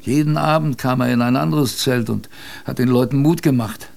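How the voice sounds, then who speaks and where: A man's voice: mid-range voice, moderate pace, creaky, a little mellow, close to an everyday voice. A man playing a plain-spoken, wry sailor who tells his expedition tale chattily, straight to the listener, in a radio drama. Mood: wry, colloquial. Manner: chatty, addresses the listener directly. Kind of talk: radio drama first-person narration, colloquial. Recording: faint background ambience.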